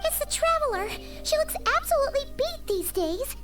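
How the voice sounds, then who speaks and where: A small female fairy sidekick with an extremely high, childlike squeak. The delivery swings widely in pitch, excitable and caring, in video game style. Music plays softly underneath. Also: moderate pace. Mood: worried. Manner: refers to self in third person, animated.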